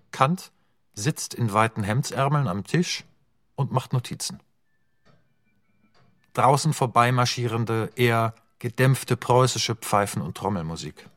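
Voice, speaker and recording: A man's voice: mid-range voice, fairly bright tone, no room sound. A man narrating an audio drama, reading screenplay directions in clipped, matter-of-fact phrases.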